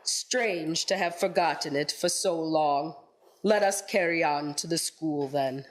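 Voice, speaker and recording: Lady acting as a ghost guide in a radio play, slow and kinda low, solemn, formal, knowing. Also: a little hiss or hum. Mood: calm, knowing. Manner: measured, formal, grave.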